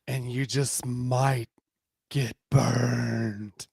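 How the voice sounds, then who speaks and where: Woman playing a slow, husky, sly female instigator. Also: rumbling low, steady tone, no echo, studio quality, voice pushed down, heavily acted. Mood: teasing, dark. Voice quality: dark, husky. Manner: teasing, challenging. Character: sly, teasing woman, playful smirking instigator.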